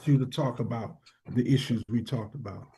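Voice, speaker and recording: A man's voice: medium pitch, normal speed, a little hiss or hum. A man, a pastor, moderating a panel and introducing the next guest, stumbling over the name. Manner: fumbling over a name, warm praise. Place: virtual event.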